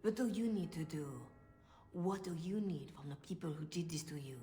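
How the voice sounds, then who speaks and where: An actress playing a calm, knowing middle-aged female cleric in a dramatic video game, measured and gentle, at times hushed. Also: on the low side, lightly acted. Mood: confessional, steady. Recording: echoey room, faint music behind.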